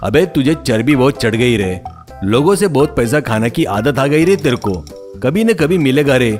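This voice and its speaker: A man's voice: medium pitch, animated, normal speed, a little mellow, faint music behind, natural voice. A man playing an exasperated village president in a cartoon story, scolding with scornful questions. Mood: threatening. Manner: hectoring, scornful rhetorical questions.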